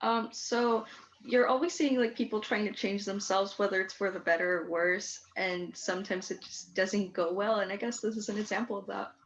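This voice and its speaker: A woman's voice: medium pitch, steady tone, normal speed, a little hiss or hum. A teenage American girl, a student being interviewed on a video call, speaking hesitantly. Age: teens. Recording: dull video-call audio.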